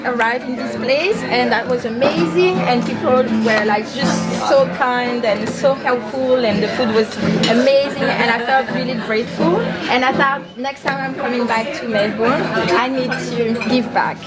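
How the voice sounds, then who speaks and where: A woman from Guadeloupe, a restaurant volunteer, talks in a warm, grateful way. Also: a little high, moderate pace. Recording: loud music and crowd behind.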